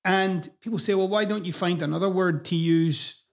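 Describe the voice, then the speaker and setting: A man's voice: sits high, normal speed. A middle-aged man from Scotland with a partly Americanized Scottish accent, hosting a podcast in a blunt, emphatic monologue full of swearing. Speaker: podcast host. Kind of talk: casual monologue.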